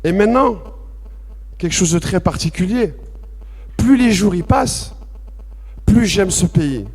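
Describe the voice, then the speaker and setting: A man from France giving a personal testimony at a public debate, halting and repetitive at first, then impassioned and emphatic. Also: sits high, animated, darkish tone, breathy edge. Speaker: association president speaking at a public debate. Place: debate hall with audience. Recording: long reverb of a large hall.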